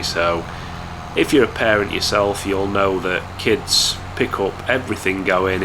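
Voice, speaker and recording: A young man from England with a hoarse voice from a cold, chatting casually to viewers while commentating a game. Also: sits low, background noise. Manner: chatty, relaxed, talks straight to viewers. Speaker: gaming YouTuber.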